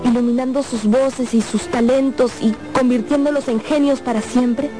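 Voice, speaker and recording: Young soprano diva, played by a woman: highish voice, tender, wistful radio drama delivery over music. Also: steady tone, moderate pace, lightly acted. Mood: dreamy, earnest. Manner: earnest, wistful, confiding. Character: tender, dreamy young female opera singer.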